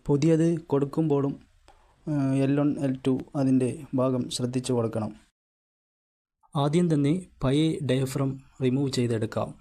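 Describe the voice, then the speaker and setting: A man's voice: medium pitch, dark tone. A Malayali man narrating a step-by-step repair tutorial in a plain, instructional manner. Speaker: host of a repair tutorial channel.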